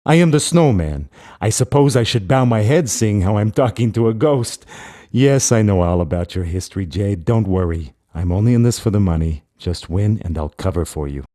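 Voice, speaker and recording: An actor playing a shady, smug sponsor in a video game, a man who makes calm, measured threats. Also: on the low side, lightly acted. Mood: smug, knowing. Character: shady male crime-boss sponsor, smug, calm and menacing. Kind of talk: video game character dialogue.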